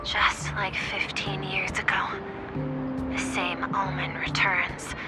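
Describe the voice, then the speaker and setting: A woman as a slow, poised, enigmatic lady. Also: medium pitch, level tone, in a whisper, lightly acted. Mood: solemn. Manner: formal, deliberate. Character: poised, soft-spoken, enigmatic businesswoman. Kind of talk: dramatic video game acting. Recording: loud background music.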